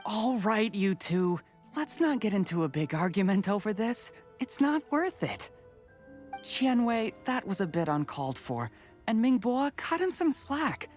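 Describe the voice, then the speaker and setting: A reasonable young peacemaker. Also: female voice, medium pitch, very animated, measured pace, darkish tone, faint music behind. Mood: placating. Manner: soothing, reasonable. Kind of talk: video game voice acting.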